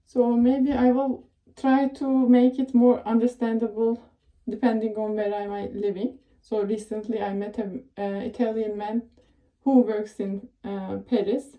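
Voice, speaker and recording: A woman's voice: on the high side, flat delivery, a little slow, lo-fi sound. A young woman from Turkey with a Turkish accent, giving casual career advice in a rambling, chatty way full of fillers and self-corrections. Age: young adult. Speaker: tech professional giving advice.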